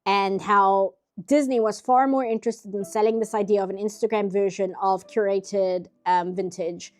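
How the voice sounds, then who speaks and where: A woman's voice: medium pitch, steady tone, normal speed. A nasal-sounding woman streaming herself painting, chatting casually in rambling asides. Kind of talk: casual chat while drawing.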